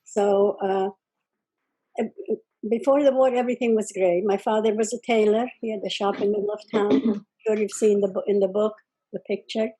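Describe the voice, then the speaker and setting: Elderly woman, husky. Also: medium pitch, fairly even intonation, normal speed, mellow, rough recording. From Czechoslovakia. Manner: plain-spoken, tags sentences with "okay?". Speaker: guest. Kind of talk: conversational testimony.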